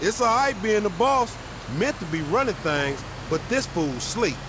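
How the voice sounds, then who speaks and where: A loudmouthed young hustler in a video game. His voice is high and swings all over, full of slangy, profane teasing, with vehicle noise behind it. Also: sing-song, unhurried, exaggerated voice. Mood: nosy, skeptical. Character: loudmouthed, wisecracking young street hustler, suspicious and excitable. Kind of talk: video game dialogue, bantering.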